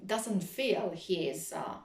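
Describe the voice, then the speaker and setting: Woman lit teacher explaining a story, slightly low voice, lots of hesitant pauses, echoey room. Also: expressive, a little mellow. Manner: didactic, hesitant phrasing with frequent pauses. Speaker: literature teacher. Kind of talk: explanatory lecture on a literary text.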